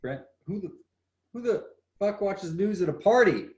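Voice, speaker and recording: A man's voice: sits high, lively intonation, normal speed, a little mellow, highs cut off. A man reading a mouthy, mocking teenage boy at a party in a table read.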